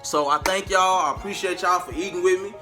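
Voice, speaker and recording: A man's voice: high voice, steady tone, a little fast, pushed and bright, faint music behind. A man telling a personal story to his viewers in a casual, emphatic way, repeating phrases for emphasis. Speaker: vlogger and R&B singer.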